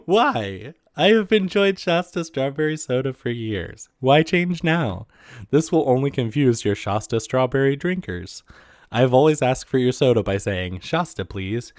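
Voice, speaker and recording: A man's voice: mid-range voice, no echo, clean studio sound. A man casually reading comic excerpts aloud and commenting on them, with a giggly manner that often breaks into laughter.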